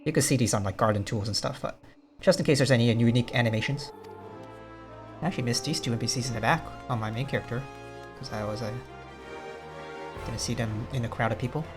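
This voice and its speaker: Guy doing laid-back rambly game commentary, medium pitch, music playing behind him. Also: quick pace, long pauses. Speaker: gaming video host. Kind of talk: casual let's play commentary.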